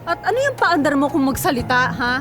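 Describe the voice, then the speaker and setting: An actress playing a haughty, cruel young office worker in a Filipino radio drama, taunting with open contempt. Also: female voice, sits high, sing-song, brisk. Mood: contemptuous. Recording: music bed under dialogue.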